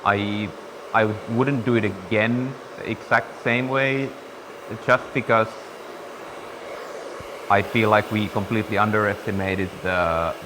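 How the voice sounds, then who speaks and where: A young man from Finland, chatting casually in a barber shop and pausing to find his words. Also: fairly low pitch, fairly even intonation, background noise. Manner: thoughtful, searching for words. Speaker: guest, business partner. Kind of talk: casual conversation. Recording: reverberant room, faint ambience.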